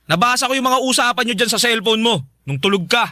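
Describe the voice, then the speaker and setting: A man's voice: very high-pitched, lively intonation, fast talker, no echo, studio quality, exaggerated voice. A Filipino man playing a bitter, suspicious husband who accuses his wife, in a Tagalog radio drama. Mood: accusing, angry. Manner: accusing, forceful.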